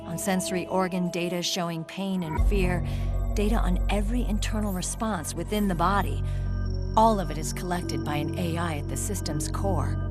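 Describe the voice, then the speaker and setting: A low-voiced young woman , played by an actress, as a military commander in a game cutscene. She is composed and articulate, with serious, measured delivery and a guarded pride. Music plays prominently behind her. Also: close to an everyday voice. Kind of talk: dramatic video game cutscene acting, expository.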